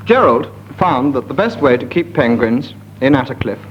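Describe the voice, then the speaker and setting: A man's voice: medium pitch, animated, normal speed. A man improvising a comic story as a panel show contestant, on a muffled, noisy broadcast recording. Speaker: comedy panellist. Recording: muffled broadcast with loud background noise.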